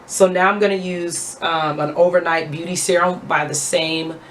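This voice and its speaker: A young woman with a raspy, low voice chatting heartfelt and casual as a beauty vlogger while doing her skincare at home. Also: fairly even intonation, normal speed, slight background noise. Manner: chatty, heartfelt, thanks God often.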